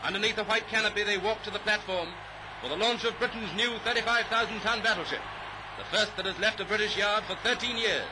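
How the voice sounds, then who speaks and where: A man's voice: sits high, quick pace, noisy background. A man giving formal, clipped 1930s newsreel narration in a declamatory, upbeat manner, on an old newsreel soundtrack. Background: orchestral music.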